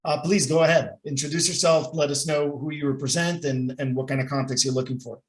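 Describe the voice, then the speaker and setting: A man with a somewhat high voice who hosts a business meeting. He talks in a friendly way and often repeats words as he restarts his sentences. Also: steady tone, fairly quick. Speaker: chamber of commerce host. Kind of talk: hosting an online meeting.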